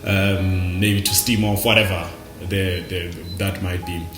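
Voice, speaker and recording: A South African man talking casually and reflectively to camera, halting and repeating words as he goes. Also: sits low, level tone, reverberant, music in the background. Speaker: vlogger. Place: indoors, dim room at night.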